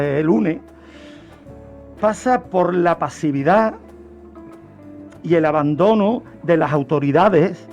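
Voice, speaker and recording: A man's voice: on the high side, creaky, darkish tone, muffled recording. A man giving didactic, emphatic explanations in a radio discussion. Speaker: criminology commentator on a radio show.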